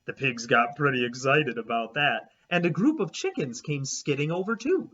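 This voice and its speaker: A male teacher reading a children's chapter book aloud, expressive and making chatty asides to young listeners. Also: medium pitch, normal speed, slightly rough. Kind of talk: children's read-aloud narration. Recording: slightly dull lo-fi recording.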